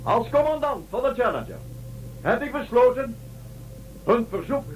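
A man's voice: very high voice, very animated, moderate pace, slight background noise, poor audio. A man playing a solemn starship commander giving a formal, declamatory address in a science-fiction radio drama. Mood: solemn, resolute.

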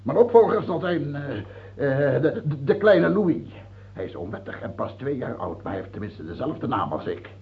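A man's voice: medium pitch, sing-song, normal speed, husky, heavily acted. An actor in a radio play playing a dying old king, theatrical, imperious and afraid, swinging from pleading to commanding. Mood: frantic commanding. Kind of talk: Dutch radio play acting. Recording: tinny, band-limited old radio recording, faint hiss.

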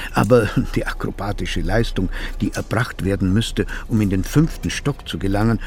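Pompous old-timey shrink in a radio play, deep creaky voice, flowery and pedantic. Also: male voice, darkish tone, a little hiss or hum, exaggerated voice. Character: pompous male psychotherapist of a bygone era, formal and smitten. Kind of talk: German radio play acting, narrating and in dialogue.

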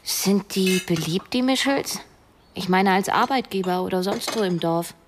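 A helpful village woman played by an actress with a medium-pitched voice. She chats casually and probes with questions in a radio play, over clear background noise. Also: moderate pace, close to an everyday voice. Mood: curious. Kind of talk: radio drama dialogue.

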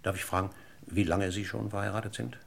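Gravelly courteous, probing police inspector. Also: male voice, fairly low pitch, steady tone. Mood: politely insistent. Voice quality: gravelly, husky. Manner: formally polite, deliberate, needling questions. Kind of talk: German radio crime drama acting.

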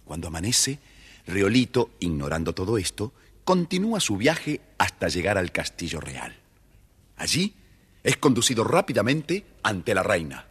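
A male storyteller. His low, husky voice moves through wide, expressive swings as he narrates a scripted tale for children. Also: moderate pace.

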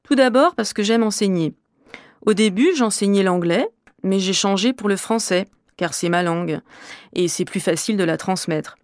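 A woman from Normandy, a French teacher, answering interview questions in a measured, clear, explanatory way. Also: mid-range voice, darkish tone, no room sound, studio quality. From Normandy, France.

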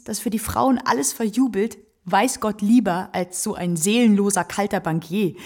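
A woman's voice: mid-range voice, slightly rough. An actress playing a brazen, generous kept woman in a radio drama, speaking in a knowing, theatrical way. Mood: impassioned, generous. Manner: expressive, knowing, frank.

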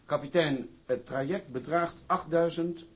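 A man's voice: a little high, unhurried, natural voice. An actor playing a sober, probing male newspaper reporter in a drama, speaking formally. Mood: serious, probing.

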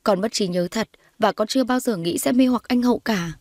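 A woman's voice: medium pitch, brisk, no room sound, studio quality, lightly acted. A woman voicing a meek fifteen-year-old girl, fearful and polite, pleading earnestly in a dramatized audio story.

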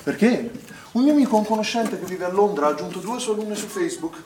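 A man's voice: high voice, animated, fast talker. An actor playing an earnest young male swimming instructor in a stage play, pleading and repeating himself in exasperation. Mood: exasperated, insistent. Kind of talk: naturalistic stage acting in a theatre play. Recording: echoey theatre recording from far off, some noise.

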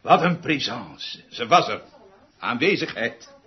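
Pompous, wildly animated orchestra conductor. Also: male voice, medium pitch, slow. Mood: grandiose, wistful. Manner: theatrical, rambling, self-important, repeating himself. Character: pompous, eccentric, world-weary male orchestra conductor. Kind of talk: radio play acting. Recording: dull, noisy radio drama recording with clicks.

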